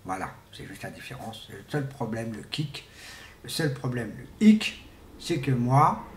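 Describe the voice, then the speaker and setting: A man giving an informal, rambling monologue to viewers, speaking haltingly and often repeating words and restarting. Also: medium pitch, sing-song. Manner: often clears throat. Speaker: vlogger. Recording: echoey room with faint background noise.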